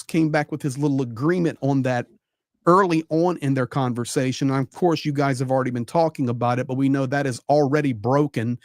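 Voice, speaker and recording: Male panel commentator, mid-pitched, conversational and emphatic, recorded dry. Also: darkish tone, dry recording. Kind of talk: live political commentary.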